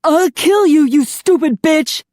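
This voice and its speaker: A woman's voice: high-pitched, expressive, a little slow, darkish tone, no room sound, studio quality, voice pushed up. A woman voicing a violent, enraged husband who roars threats. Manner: bellowing, roaring threats.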